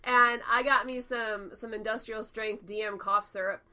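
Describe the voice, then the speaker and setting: A woman's voice: fairly high pitch, steady tone, moderate pace, pushed and bright. A woman co-hosting a casual podcast, with a nasal voice, chatting and laughing often while telling stories. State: recovering from illness. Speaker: podcast co-host. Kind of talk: casual chat.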